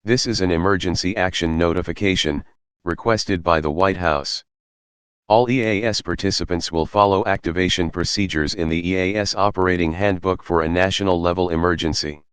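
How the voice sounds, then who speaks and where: A deep-voiced man reads a formal official alert notification. His voice is smooth and resonant, recorded dry and close. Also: a little fast, a bit bright, no echo. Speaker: emergency alert announcer.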